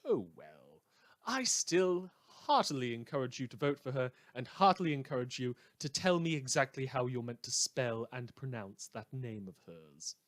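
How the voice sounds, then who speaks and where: A man's voice: medium pitch, animated, normal speed, a bit bright, natural voice. A man acting as a snide, theatrical small-town radio host, reading mock news in a scripted fan drama. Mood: flustered aside. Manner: sardonic, theatrical, swinging from muttered asides to outbursts.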